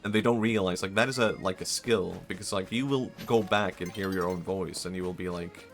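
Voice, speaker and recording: Young man, very low voice, hesitant rambling talk, stutters and restarts, self-mocking, faint music behind. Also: brisk. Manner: self-mocking, laughs softly. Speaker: streamer. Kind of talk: casual live-stream chat.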